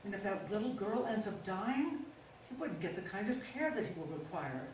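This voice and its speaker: Woman acting pushy manipulative middle-aged wife, sweet-talking, swingy pitch, really echoey stage reading. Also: sing-song, moderate pace, a little mellow, close to an everyday voice. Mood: solemn, controlling. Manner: coaxing, pressuring, falsely sweet. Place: indoor staged reading, mic far off. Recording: very echoey room, distant mic, faint noise.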